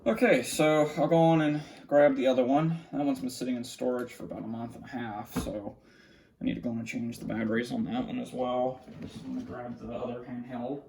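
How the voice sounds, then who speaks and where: Creaky-voiced man chatting as he works. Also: mid-range voice, flat delivery, brisk, darkish tone, low-quality recording. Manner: muttering to himself as he works. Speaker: hobbyist vlogger showing a radio collection. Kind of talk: casual unscripted hands-on commentary. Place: small indoor room at a cluttered desk.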